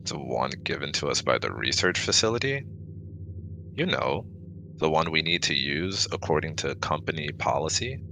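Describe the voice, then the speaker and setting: Man playing a very deep-voiced, officious alien scientist. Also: expressive, normal speed, vocal fry, background noise, heavily acted. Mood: exasperated. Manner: stiff, officious, precise wording. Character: formal, easily exasperated male alien exobiologist. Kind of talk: scripted ASMR audio roleplay.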